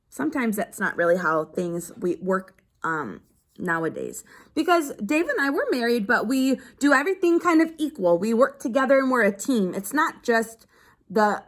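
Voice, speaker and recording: A woman reading a children's book aloud like a teacher, pausing to explain things simply as if to young pupils. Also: fairly high pitch, a bit bright. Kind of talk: read-aloud lesson with simple explanatory asides.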